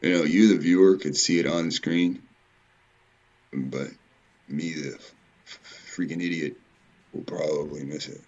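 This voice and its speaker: A man giving informal, rambling commentary in a halting way, repeating words and swearing mildly now and then. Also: extremely low pitch, fairly even intonation, darkish tone, highs cut off. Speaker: video presenter. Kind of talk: informal rambling commentary over on-screen images.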